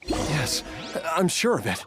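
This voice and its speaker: A sick tired guy who wont give up, strained game drama voice, pitch all over, music behind. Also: sing-song, slow pace. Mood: weary, uneasy. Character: desperate, weary, ailing man, doggedly determined. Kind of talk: scripted game voice acting.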